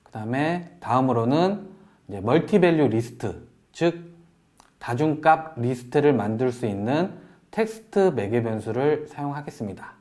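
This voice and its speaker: A man presenting a software tutorial in a polite, explanatory way, walking through each step as an instructor. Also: mid-range voice, expressive, normal speed. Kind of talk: software tutorial narration over screen demo.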